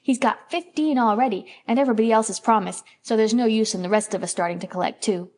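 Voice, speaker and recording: A woman voicing an excitable young farm boy who is eager and boastful. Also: fairly high pitch, quick pace, lightly acted.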